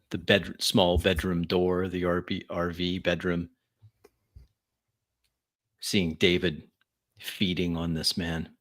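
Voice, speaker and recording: A man playing a tabletop roleplay game, narrating his actions in first person in halting pieces. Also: deep voice, fairly bright tone.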